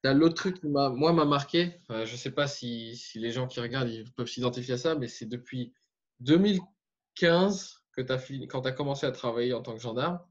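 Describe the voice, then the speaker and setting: A man's voice: mid-range voice, fairly quick. A man coaching and interviewing, explaining his points emphatically. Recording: dull muffled audio.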